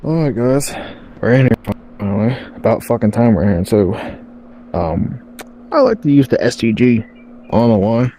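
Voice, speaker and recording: A man playing a gruff, chain-smoking off-duty villain with a deep, rough smoker's voice, rambling and swearing casually in a game roleplay. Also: very animated, normal speed, long pauses, mellow, slight background noise, close to an everyday voice. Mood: laid-back.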